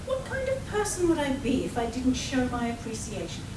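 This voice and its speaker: A woman's voice: high voice, big pitch swings, fairly quick. A woman playing a villainous weasel queen in a comic radio play, coy and over-sweetly ingratiating. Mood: coy, inviting. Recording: roomy, distant, noisy live stage recording.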